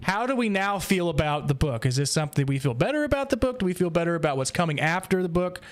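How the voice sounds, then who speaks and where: A man hosting a casual roundtable discussion, feeling out his thoughts in a halting way. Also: high voice, lively intonation. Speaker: host of a book discussion podcast.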